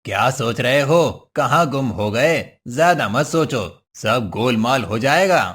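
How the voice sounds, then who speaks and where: A man's voice: mid-range voice, animated, a little slow, a bit bright, slightly rough. A man voicing a sly devil in a cartoon story, coaxing and falsely friendly. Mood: prying, sly. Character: sly hoofed male devil posing as generous employer. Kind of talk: Hindi cartoon story voice acting.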